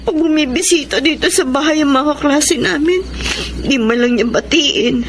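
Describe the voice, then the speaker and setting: An actress as a high-voiced, emotive young daughter. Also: female voice, normal speed, lightly acted. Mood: tearful, hurt. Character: sensitive student daughter, hurt and yearning for approval. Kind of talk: emotional Tagalog radio drama acting. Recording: noisy background under voice.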